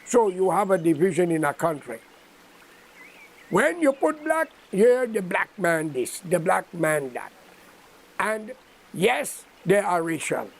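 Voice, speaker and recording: A man's voice: high-pitched, very animated, slow pace, gravelly. An older man with a Guyanese accent giving an impromptu political address in a preachy, admonishing, emphatic manner. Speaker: political commentator.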